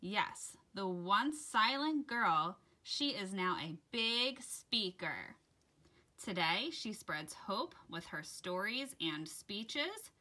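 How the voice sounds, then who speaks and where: A woman host reading aloud to children in an expressive, deliberate storytelling style. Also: medium pitch. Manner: dramatic, deliberate storytelling delivery addressed to children. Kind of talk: expressive read-aloud of a rhyming children's picture book.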